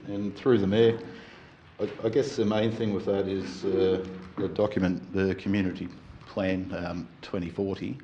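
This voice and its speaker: A very deep-voiced man answers a question for council, hesitant and halting with frequent ums. The room is echoey, with faint ambience. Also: darkish tone, a little hiss or hum. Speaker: council officer. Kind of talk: formal reply at a public meeting.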